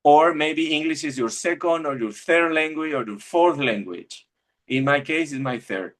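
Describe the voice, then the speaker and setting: A man's voice: fairly high pitch, normal speed, a bit bright. A middle-aged man from Argentina, giving a reflective church webinar talk over a video call and often restarting his phrases as he searches for words. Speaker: webinar speaker.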